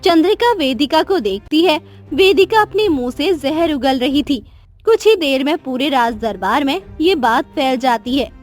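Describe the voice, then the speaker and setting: A woman narrating a cartoon story in a steady, clear storytelling manner. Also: sits high, measured pace, faint music behind.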